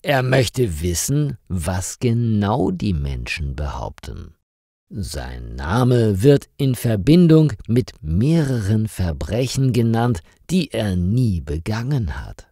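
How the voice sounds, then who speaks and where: A man reading a scripted story for language learners in a measured, carefully articulated storytelling manner. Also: lowish voice, very animated, warm tone, no echo, studio quality. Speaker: narrator of stories for language learners.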